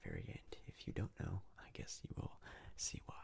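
A man giving soft-spoken whispered ASMR game commentary in a breathy, husky voice, rambling hesitantly and repeating words. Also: moderate pace. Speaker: gaming video host.

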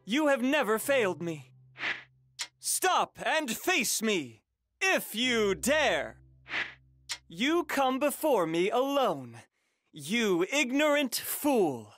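A man's voice: high-pitched, sing-song, slow pace, no room sound, full character voice. A man voicing a noble, cultured, proud young warrior-strategist in a video game, with formal and earnest delivery. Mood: proud praise. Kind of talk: theatrical video game dialogue. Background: music.

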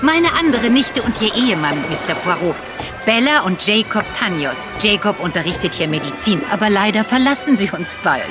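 An older woman playing a wealthy, sharp-tongued elderly aunt in a crime drama, genteel and outspoken. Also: medium pitch, sing-song, moderate pace, over music, natural voice. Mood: lively. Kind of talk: dubbed crime drama acting.

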